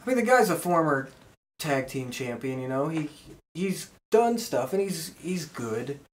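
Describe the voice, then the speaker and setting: A man's voice: a little high, very animated, a little slow, slight background noise. A man hosting a wrestling podcast, chatting casually and informally with co-hosts. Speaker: podcast host.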